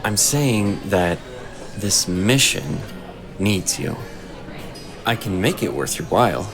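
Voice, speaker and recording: An actor playing a shrewd, composed male inquisitor in a fantasy audio drama, speaking in a measured, persuasive way. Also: fairly low pitch, sing-song, over music, full character voice. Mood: earnest, persuasive.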